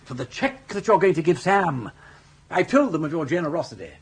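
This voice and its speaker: An actor playing a jaunty, persuasive older English gentleman in a radio comedy, with an upper-class accent and a breezy, coaxing manner. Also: fairly high pitch, sing-song, brisk, vocal fry, slightly rough, exaggerated voice. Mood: breezy, firm. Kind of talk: British radio comedy drama.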